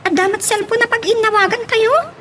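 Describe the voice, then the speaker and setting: Woman acting as very high-voiced wistful young student. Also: brisk, sharp tone, a little hiss or hum. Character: wistful young student girlfriend musing about the future. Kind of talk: Ilocano radio-style drama acting.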